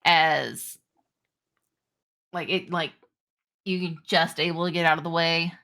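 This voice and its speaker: A woman game master improvising narration and rules in a halting, conversational way. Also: deep voice, level tone, pushed and bright. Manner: halting, conversational, pieced-together descriptions. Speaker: game master of a tabletop roleplaying game.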